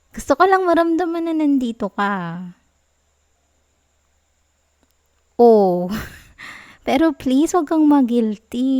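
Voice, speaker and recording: A woman plays a sweet, clingy girlfriend with a Filipino accent in an ASMR roleplay. Her voice sits a little high and moves very slowly. She coos and teases softly, affectionate with a playful sulk. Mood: tender, honest. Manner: soft, cooing, teasing, giggly.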